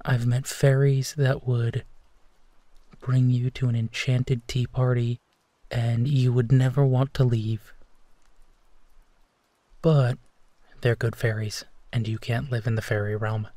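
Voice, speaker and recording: A man playing a gentle, protective werewolf who tenderly reassures his partner in an intimate bedtime roleplay, leaving long pauses. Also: mid-range voice, little pitch movement, dry recording, close to an everyday voice. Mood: gently wry. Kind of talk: intimate roleplay audio, soft bedtime comfort.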